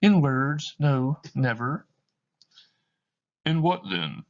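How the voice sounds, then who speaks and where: A man's voice: medium pitch, sing-song, extremely slow, lo-fi sound, pitched above the performer's own voice, exaggerated voice. A man voicing a grieving young woman who speaks to her former love with bitterness and reproach. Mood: sorrowful.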